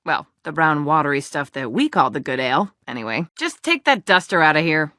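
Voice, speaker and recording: A blunt female dwarf serving in a fantasy game tavern. Her voice sits a little low for a woman, recorded dry, and she talks with earthy, sardonic bite. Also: very animated, brisk, pushed and bright, dry recording, exaggerated voice. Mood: teasing, dismissive. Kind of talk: video game character dialogue.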